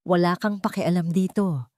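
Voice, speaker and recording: A woman's voice: lowish voice, normal speed, darkish tone, dry recording, clean studio sound, natural voice. A woman voicing a rejected male lover in a story, dramatic and insistent. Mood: irritated. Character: pleading, easily irritated man, a rejected former lover.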